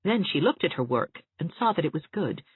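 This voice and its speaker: A woman narrating a classic novel in a low, husky voice, her reading measured but moving freely up and down. The recording sounds thin and phone-like, very dry. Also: sing-song, dry recording. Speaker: audiobook narrator. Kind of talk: scripted audiobook narration.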